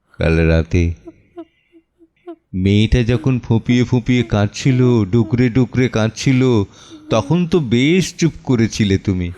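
A man playing an anguished, weary father in an audio drama monologue, speaking theatrically with heavy pauses. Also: mid-range voice, a little mellow. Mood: weary, reproachful.

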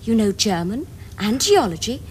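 A woman's voice: medium pitch, sing-song, normal speed, a little hiss or hum, lightly acted. An actress playing a naive, sweetly artful young upper-class English girl in a period comedy, earnest and well-enunciated. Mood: earnest, airy. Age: young adult. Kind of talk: period comedy screen acting.

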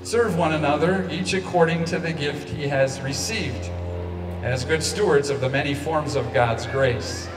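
An American man in his sixties, a pastor addressing an outdoor congregation and reading scripture in a measured, declamatory way through a handheld microphone. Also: on the high side, over music. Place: outdoor lawn service beside a church. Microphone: handheld microphone through speakers, picked up from afar. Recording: roomy, distant PA sound with clear noise.

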